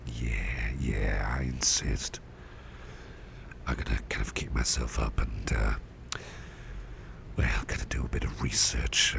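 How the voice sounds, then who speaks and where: A man playing a caring, gentle boss in an ASMR roleplay, murmuring tender reassurance in breathy whispers with a gravelly rumble. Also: full character voice. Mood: tender, reassuring. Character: caring, gentle male boss soothing a cursed employee.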